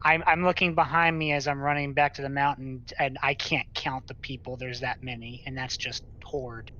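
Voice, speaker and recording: A man's voice: mid-range voice, little pitch movement, moderate pace, bright, forceful tone, slight background noise. Fed up gamer guy, blunt, muffled lofi voice chat. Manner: exasperated, blunt. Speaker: raid member. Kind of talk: casual gaming chat. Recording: dull lo-fi gaming voice chat.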